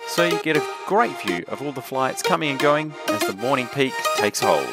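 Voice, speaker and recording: A man's voice: medium pitch, lively intonation, normal speed. A man narrating his travel vlog in a chatty, wry voice-over. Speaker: travel vlogger. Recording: music bed under the voice.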